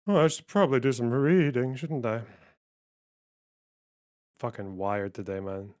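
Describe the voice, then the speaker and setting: A man's voice: mid-range voice, animated, unhurried, darkish tone. A man giving blunt, wry, sweary commentary on news during a live stream.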